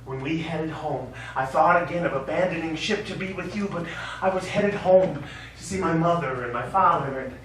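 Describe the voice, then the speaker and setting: Guy acting a sorry lovelorn middle-aged army vet in a play, pleading n halting, echoey, bit of noise. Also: on the high side, a bit bright, close to an everyday voice. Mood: sorrowful. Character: remorseful, lovelorn middle-aged American war veteran. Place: small theatre stage. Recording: echoey theatre stage, distant mic, faint noise.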